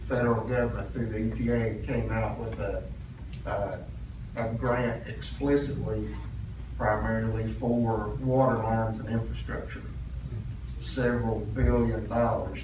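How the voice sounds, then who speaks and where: A man speaking as a committee member in a formal public meeting, with halting, deliberate phrasing. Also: fairly low pitch. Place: indoor public meeting room. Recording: very echoey room, distant mic, noisy.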